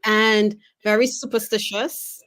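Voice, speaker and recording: A woman's voice: mid-range voice, level tone, takes it slowly, fairly bright tone. Friendly woman guest from Trinidad. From Trinidad and Tobago. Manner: friendly, explanatory, with "so" and "um". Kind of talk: casual interview chat.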